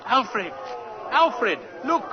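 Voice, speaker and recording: An actor in a 1940s radio play voicing a kindly old man, gentle and grandfatherly, on a dull old broadcast recording. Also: very high-pitched, sing-song, extremely slow, full character voice. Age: older. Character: kindly old man Kris Kringle, gentle and warm-hearted.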